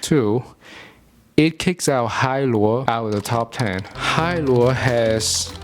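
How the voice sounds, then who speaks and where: A young man from Vietnam with a Vietnamese accent, narrating a food review in an earnest, measured way with blunt asides. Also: mid-range voice. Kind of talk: scripted video narration.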